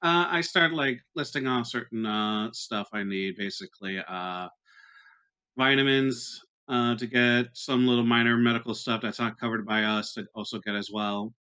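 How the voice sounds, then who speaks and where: Man, medium pitch, crisp bright tone, casual game player. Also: normal speed.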